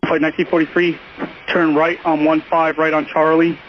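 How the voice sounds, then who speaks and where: Man, tower controller, very fast clipped delivery, flat pitch, aviation radio. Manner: clipped, procedural phraseology. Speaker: air traffic tower controller. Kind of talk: radio air traffic control instructions. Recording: muffled, lo-fi radio with faint noise.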